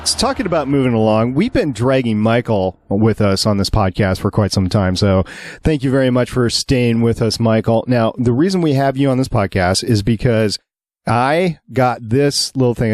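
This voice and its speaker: A man's voice: mid-range voice, lively intonation, normal speed, a little mellow, no room sound. A man hosting a casual fan podcast in a chatty, enthusiastic way. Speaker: podcast host.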